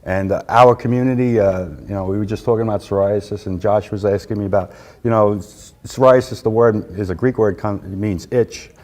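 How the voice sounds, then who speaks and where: A man's voice: on the low side, fairly quick, darkish tone. A middle-aged man from New York, a patient advocate chatting casually as an interview guest, with lots of fillers and easy laughs, on a lapel mic in a conference room.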